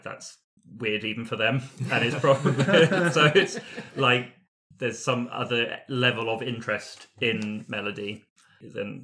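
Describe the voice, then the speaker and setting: A man's voice: on the low side, sharp tone. A man playing a tabletop roleplaying game, chatting playfully and casually with friends and often chuckling as he talks. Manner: playful, chuckles through sentences. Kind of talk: casual character discussion among friends.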